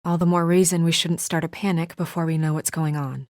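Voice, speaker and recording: A young woman with a fairly low, serious voice, recorded dry and close. She is an earnest, composed official who speaks with measured restraint, in naturalistic video game dialogue. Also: little pitch movement, a little mellow, dry recording.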